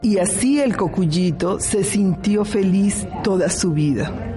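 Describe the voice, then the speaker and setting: A woman narrating a children's story in an amateur radio play, in a slow, careful storybook manner. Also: medium pitch, mellow, faint music behind.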